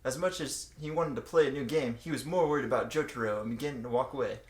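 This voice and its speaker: A man's voice: mid-range voice, fast. A young man reading a story aloud casually and comically, stumbling over the words. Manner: stumbling reader. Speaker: co-reader, channel host. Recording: echoey room.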